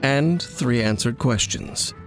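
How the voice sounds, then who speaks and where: An actor playing an aloof, mysterious wanderer in a video game, speaking in a formal, deliberate, solemn manner. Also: male voice, medium pitch. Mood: cool, businesslike. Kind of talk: dramatic video game dialogue. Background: game music.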